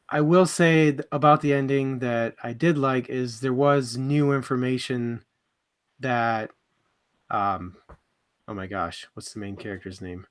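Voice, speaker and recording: A man with an average-pitched voice chats casually as a panelist on a book club livestream. His delivery is informal and slightly hesitant as he feels out his opinions. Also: fairly bright tone, clean tone. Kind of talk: casual chat about books on a livestream.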